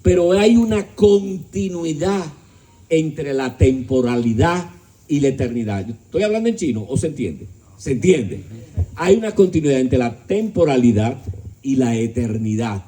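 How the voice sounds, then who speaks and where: A middle-aged man lecturing a live audience on philosophy. His voice is very dark and mellow, with middling pitch. He leans into words with shifting pitch and loudness, tosses out rhetorical questions and drops in a joke now and then. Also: very animated, unhurried. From Dominican Republic. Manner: emphatic, shifting pitch and volume. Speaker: speaker at a discussion gathering.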